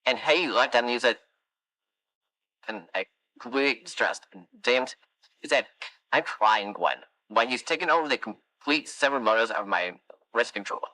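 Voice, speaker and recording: A rambling animatronic, voiced by a man, spins a meandering anecdote in a game. The voice is bright and forceful, sitting at an everyday pitch. It is recorded dry and close, with no room sound. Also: lively intonation, normal speed, pauses often, dry recording, poor audio. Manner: long-winded, wandering anecdotes, dry musings. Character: chatty rambling animatronic, wistful storyteller. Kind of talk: fan game voice lines.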